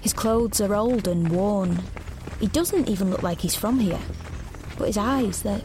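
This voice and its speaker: A woman's voice: mid-range voice, measured pace, background noise, lightly acted. Woman acting an anxious, guarded young teenager. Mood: tense, haunted. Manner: hesitant, guarded, earnest. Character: anxious, watchful thirteen-year-old narrator in a dystopia. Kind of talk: first-person audio drama narration and dialogue.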